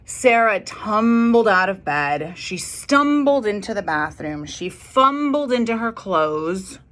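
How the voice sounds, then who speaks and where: A woman's voice: mid-range voice, big pitch swings, takes it slowly, a bit bright. A woman educator reading a children's storybook aloud and speaking directly to her young listeners. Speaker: school educator reading to students.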